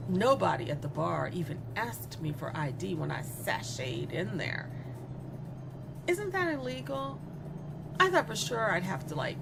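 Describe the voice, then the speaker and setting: A cruel young woman killer played by an actress talks straight at her victim in a horror-comedy audio drama. Her pitch is middling but swings widely as she mocks and brags, sardonic and self-absorbed, with music running underneath. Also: vocal fry. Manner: mocking, conversational, sardonic, giggly asides.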